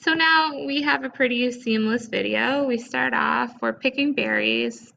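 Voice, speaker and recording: A woman presenting a casual step-by-step software tutorial in an informal, conversational way, recorded with dull, lo-fi sound. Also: a little high, a little slow, creaky.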